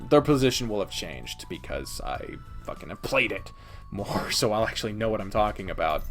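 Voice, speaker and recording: A man giving a casual, opinionated countdown review as a video host, partly scripted, with a blunt, sweary manner. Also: lowish voice. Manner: sweary, blunt, chuckling asides.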